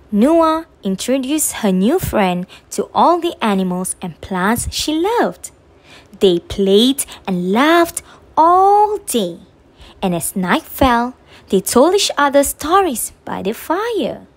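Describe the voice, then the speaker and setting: A woman reading a children's story aloud in an expressive, drawn-out way meant for young listeners. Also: fairly high pitch. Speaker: storybook reader on a children's channel.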